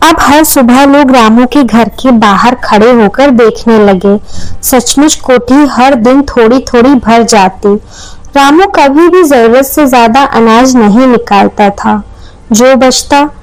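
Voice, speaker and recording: Slow-paced, high-voiced woman storyteller. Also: no room sound, rough recording. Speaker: storyteller, narrator. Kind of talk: scripted moral story narration.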